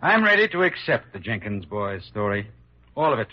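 A man's voice: lowish voice, big pitch swings, normal speed, background noise. A man playing a decisive, businesslike insurance investigator in an old-time radio drama. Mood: conceding, decisive. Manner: plain, decisive. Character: brisk, businesslike insurance investigator, fair-minded. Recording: muffled old radio recording.

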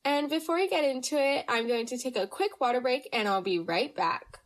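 A woman's voice: high voice, expressive, brisk. A young woman hosting a casual storytime podcast, chatty and rambling, sounding a little nasal from allergies. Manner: bubbly, chatty, rambling with fillers. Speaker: podcast host.

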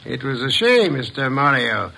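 A man's voice: medium pitch, big pitch swings, normal speed, vocal fry, bright, forceful tone, heavily acted, poor audio. A man playing a pompous, irritable genius detective, speaking formally and wordily in an old-time radio drama. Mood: formal, probing. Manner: pompous, wordy, formal, sardonic.

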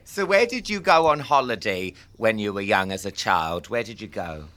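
Host, cheeky teasing chat, bright voice, animated pitch. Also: moderate pace. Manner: cheeky, teasing, mock-posh. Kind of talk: casual chat.

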